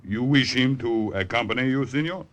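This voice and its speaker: An actor playing a deferential Italian army major with polite, formal speech in an old-time radio drama. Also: male voice, medium pitch, lively intonation, moderate pace, natural voice. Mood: courteous, obliging.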